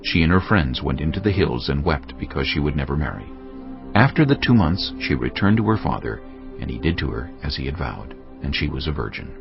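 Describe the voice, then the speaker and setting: Very deep, gravelly male scripture narrator. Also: very animated, brisk, highs cut off, over music.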